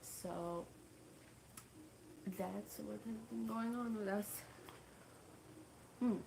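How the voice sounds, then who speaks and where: A woman with a Russian accent, a native Russian speaker, chatting casually on a livestream in a halting way full of fillers. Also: mid-range voice, gravelly, darkish tone, a little hiss or hum, poor audio. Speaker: livestream host.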